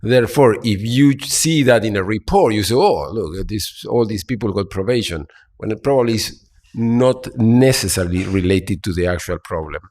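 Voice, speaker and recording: Low-voiced halting man on a panel. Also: expressive, darkish tone. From Argentina. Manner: halting, many fillers and restarts. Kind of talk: panel talk. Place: conference hall.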